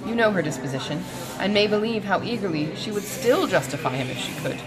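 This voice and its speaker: A woman voicing a sensible young gentlewoman in an audiobook, measured, polite and restrained. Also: mid-range voice, animated, loud music behind the voice, close to an everyday voice, rough recording. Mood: restrained sorrow. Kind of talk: audiobook character dialogue.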